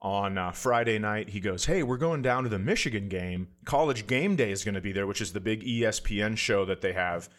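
A man's voice: fairly low pitch, brisk, hardly any pauses. Self-deprecating man telling a comic story. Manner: self-deprecating, animated anecdote telling with acted-out quotes. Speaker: comedian podcast guest telling a story.

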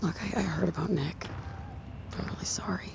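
A woman's voice: lowish voice, moderate pace, creaky, noisy background. A woman playing a bitter, exasperated estranged wife and mother, speaking bluntly in a naturalistic audio drama. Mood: concerned.

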